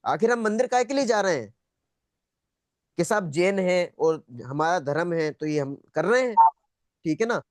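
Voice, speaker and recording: Man, fairly high voice, interactive spiritual lecture, conversational, repeats points, checks understanding, dry close sound. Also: dry recording. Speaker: teacher of an online spiritual class. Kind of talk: interactive lecture, questioning students. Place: online video call.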